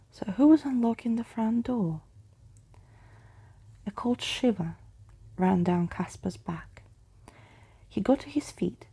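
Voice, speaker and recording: A woman reading a story aloud in a soft, hushed ASMR style, with a breathy, crackly voice. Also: mid-range voice. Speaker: storyteller, ASMR video host.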